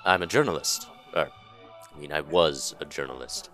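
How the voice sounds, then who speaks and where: A man's voice: extremely low pitch, normal speed, a bit bright, soft background music. A man playing a glum, polite American journalist in an amateur 1950s-set radio play, formal and downbeat in manner. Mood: awkward, courteous.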